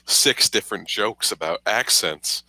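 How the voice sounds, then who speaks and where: A man's voice: lowish voice, measured pace, bright, forceful tone, dry recording, rough recording. A man chatting casually on a podcast in a wry, tongue-in-cheek way. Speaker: podcast panelist. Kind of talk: casual chat.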